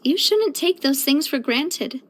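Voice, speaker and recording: A young woman voicing a cheerful, elegant young lady leader in a video game, earnest, chatty and expressive. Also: high voice, measured pace. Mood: warm, reflective. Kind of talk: video game character voice lines.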